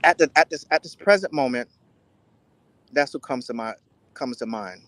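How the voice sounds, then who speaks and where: A man speaking as an interview guest, giving earnest, deliberate answers in a casual style. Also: medium pitch, a bit bright, dry recording. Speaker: guest, spice entrepreneur.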